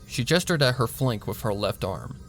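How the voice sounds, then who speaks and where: A man reading a story aloud as narrator, in a measured storytelling manner. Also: medium pitch, faint music behind. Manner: measured storytelling, voicing a flat doctor in quotes. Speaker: narrator and reader. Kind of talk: audiobook narration of fan fiction.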